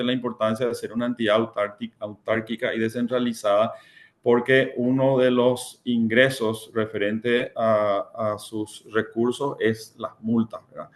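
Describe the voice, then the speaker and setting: Hesitant man presenting. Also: mid-range voice. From Paraguay. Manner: hesitant, many fillers and repeated words. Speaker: panelist. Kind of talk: conference presentation.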